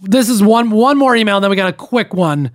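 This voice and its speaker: A man's voice: sits high, lively intonation, a little fast, no echo, clean studio sound. A young man co-hosting a podcast, reading listener emails aloud and breaking in with his own comments. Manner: reads emails aloud with running commentary, frequent restarts. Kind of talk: casual podcast chat.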